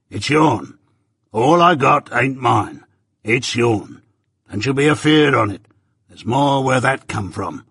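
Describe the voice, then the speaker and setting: A man reading an audiobook voices a rough old male ex-convict who dotes and blusters in a broad rustic dialect. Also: medium pitch, fairly bright tone, no room sound, studio quality, exaggerated voice. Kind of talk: audiobook character voice.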